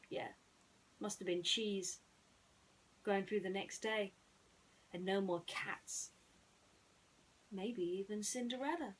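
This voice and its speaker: A woman hosting and narrating bedtime stories in a gentle storytelling style. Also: medium pitch, slight background noise, poor audio.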